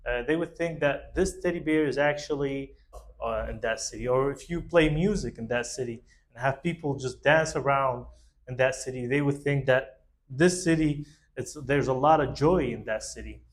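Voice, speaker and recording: Man, halting informal explainer. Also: medium pitch. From Middle East. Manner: halting, rambling, with broken phrasing and non-native English grammar. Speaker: host of a concept talk show. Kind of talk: informal explanatory monologue to viewers.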